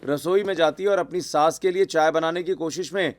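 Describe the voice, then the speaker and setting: A man reading scripted narration for an animated family drama story. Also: fairly high pitch, fairly quick. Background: music.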